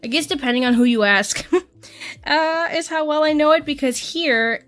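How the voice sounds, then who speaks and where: A woman's voice: sits high, moderate pace, a bit bright, soft background music. A woman in her twenties from Quebec, Canada, chatting casually and rambling with lots of fillers as a YouTuber. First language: English. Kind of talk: casual chat while doing makeup.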